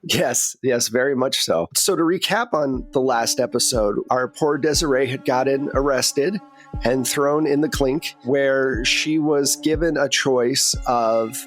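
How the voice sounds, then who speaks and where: A man's voice: mid-range voice, unhurried, soft background music. A man working as game master, narrating a tabletop game in an informal, conversational way. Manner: informal, chatty.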